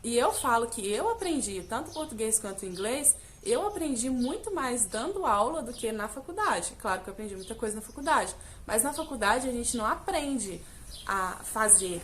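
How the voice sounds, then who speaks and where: Young woman from Brazil, chatty vlogger, fairly high voice, faint hiss behind. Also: big pitch swings, moderate pace, a bit bright. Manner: chatty, direct address with many fillers. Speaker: English teacher, vlogger. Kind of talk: casual personal vlog.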